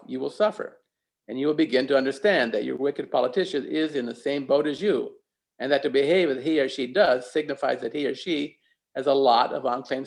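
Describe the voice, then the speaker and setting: A man delivering a reflective sermon as a preacher, speaking deliberately and pausing for emphasis. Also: medium pitch, vocal fry.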